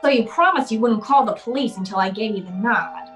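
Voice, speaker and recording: A hardboiled private eye acted by a woman in an old-time radio play, her voice on the high side. She is tough, wisecracking and unflappable, firing off clipped slang with faint music behind her. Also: brisk, lightly acted. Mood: wry narrating. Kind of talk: old-time radio detective drama acting.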